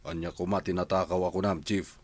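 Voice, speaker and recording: Man acting as low-voiced, defensive ex-convict. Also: steady tone, a little fast, darkish tone, no room sound. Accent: Filipino, Ilocano. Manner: defensive, colloquial. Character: male accused ex-convict, defensive, protesting innocence. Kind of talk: comedy drama dialogue.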